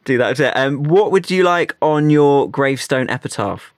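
A man's voice: on the high side, lively intonation, moderate pace, dry recording, studio quality. A man hosting a casual, chatty radio interview, playfully going along with his guests. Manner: jokey, plays along with guests. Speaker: radio host interviewing comedians.

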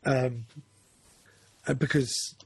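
A man's voice: medium pitch, steady tone, extremely slow, gravelly, breathy edge, dry recording. A man, talking through his goals in a casual, rambling, self-correcting chat. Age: 40s.

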